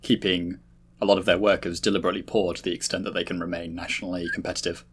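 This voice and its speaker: A man's voice: low voice, flat delivery, quick pace, fairly bright tone, a little hiss or hum. A man explaining his points analytically in a casual podcast discussion. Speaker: podcast panelist.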